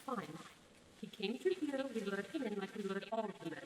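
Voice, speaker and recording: A woman's voice: mid-range voice, animated, brisk, lightly acted. A young woman playing a defiant, pleading captive forest demon in an amateur fantasy radio play. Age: young adult. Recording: echoey, noisy home-made audio.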